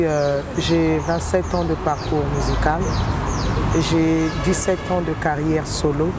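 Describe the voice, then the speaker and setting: Congolese woman speaking measuredly outdoors. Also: low-pitched. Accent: Congolese French. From Republic of the Congo. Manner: measured, with frequent hesitations and short phrases. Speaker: musician interviewed as guest. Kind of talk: interview answer. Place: outdoors in a city. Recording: noisy outdoor street with birds and traffic. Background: birds and passing vehicles.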